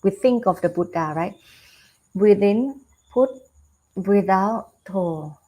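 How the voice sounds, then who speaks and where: A Buddhist nun with a Thai accent, giving a calm dharma talk over a video call, unhurried with fillers and restarts. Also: female voice, mid-range voice, poor audio. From Thailand. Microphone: video call webcam.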